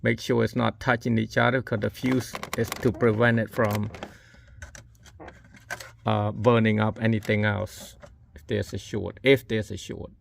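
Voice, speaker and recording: A man gives informal, halting hands-on instructions as an installer demonstrating a repair. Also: on the low side, flat delivery, vocal fry, slight background noise. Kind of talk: hands-on how-to narration.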